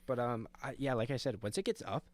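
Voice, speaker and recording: A man's voice: mid-range voice, expressive, fast, background noise. A young man co-hosting a podcast in casual chat, joking and swearing loosely. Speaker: podcast co-host.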